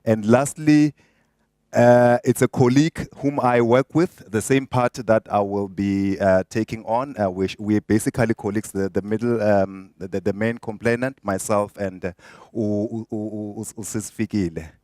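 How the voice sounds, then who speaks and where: A man's voice: on the low side, steady tone, normal speed, a little mellow, dry recording, clean studio sound. A man introducing his group's workplace role-play to classmates, with many fillers and restarts. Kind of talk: informal classroom presentation. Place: classroom or training room.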